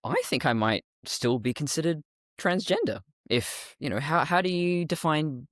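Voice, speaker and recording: A woman with a deeper voice, a guest talking bluntly and sardonically, swearing freely. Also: big pitch swings, moderate pace, no room sound, clean studio sound. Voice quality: deeper voice after testosterone. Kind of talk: candid interview talk.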